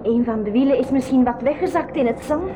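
A woman's voice: sits high, quick pace, lightly acted. A woman playing an anxious, impatient young girl on an expedition in a 1980s school radio play. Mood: fretful. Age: young adult. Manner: fretful, impatient. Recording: noisy old radio play.

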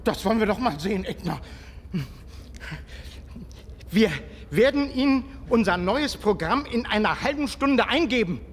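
A man's voice: sits high, lively intonation, moderate pace. An actor playing an insistent, middle-aged husband and father who stammers when flustered, in a German science-fiction radio drama.

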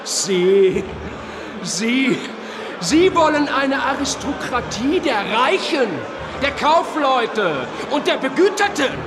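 An actor playing an indignant political agitator in a radio drama, vehemently declaiming against the rich. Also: male voice, very high-pitched, sing-song, takes it slowly, full character voice. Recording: loud crowd and music behind voice.

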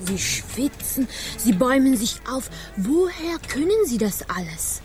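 An actress playing an aloof, defiant ship's crew member in a radio drama, speaking formally and provocatively. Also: female voice, on the high side, very animated, unhurried, a little mellow, soft background music. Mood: teasing. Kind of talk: stage-like radio drama acting.

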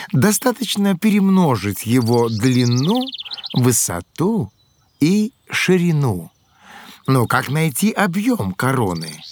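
A man playing an excitable, good-humoured ancient Greek inventor in a children's educational audio drama, explaining with exclamations and care. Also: medium pitch, a little hiss or hum.

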